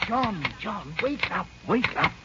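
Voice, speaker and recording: A testy, skeptical elderly English doctor in a 1940s radio drama. The voice is fairly high and leaps around as he chides theatrically. The old recording is dull and lightly noisy. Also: expressive, takes it slowly. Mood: impatient.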